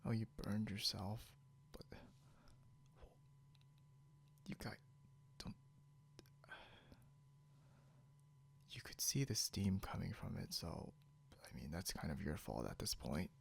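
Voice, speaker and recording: A man playing a possessive, grudgingly caring non-human captor in an intimate ASMR roleplay, gravelly and often slipping into a whisper, muttering haltingly and condescendingly, with noise behind him. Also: low-pitched, lively intonation, exaggerated voice. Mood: teasing, exasperated. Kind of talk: ASMR roleplay, intimate second-person acting. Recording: noticeable background hiss or hum.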